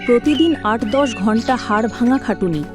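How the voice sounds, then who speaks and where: A woman narrating a moral cartoon story in a solemn, measured way. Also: fairly high pitch, expressive, music in the background.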